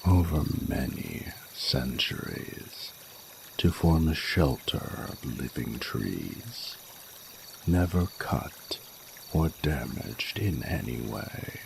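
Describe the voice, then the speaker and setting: Ancient male dragon lover, played by a man: rumbling bottom-register voice, gravelly, regal and flirtatious, formal archaic delivery over trickling water. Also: a little mellow, a little hiss or hum, exaggerated voice, poor audio. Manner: formal archaic phrasing, slow deliberate pauses, seductive. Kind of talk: romantic audio roleplay, intimate monologue to the listener.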